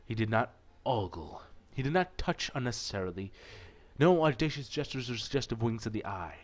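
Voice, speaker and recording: A man's voice: medium pitch, animated, a little fast. Playful man narrating a visual novel let's play. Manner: playful, irreverent, swearing asides. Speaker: gaming video host. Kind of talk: casual visual novel let's play, reading aloud with joking asides.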